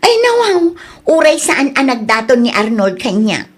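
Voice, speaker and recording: A woman's voice: sits high, very animated, normal speed, breathy edge, heavily acted. A woman with an Ilocano accent voicing a lovestruck, deluded young woman who talks in a giddy, exclamatory way in an audio drama.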